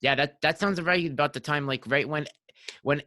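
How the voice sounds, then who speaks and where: A man's voice: a little high, moderate pace, bright, forceful tone, dry recording, studio quality. A man of about thirty hosting a podcast, chatting casually and rambling with many restarts and likes. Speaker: podcast host. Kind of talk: casual interview chat.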